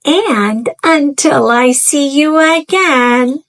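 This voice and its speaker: A woman narrating a storybook for young children in a deliberate, expressive way. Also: high-pitched. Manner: deliberate, expressive, simple phrasing for small children. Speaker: children's read-aloud host.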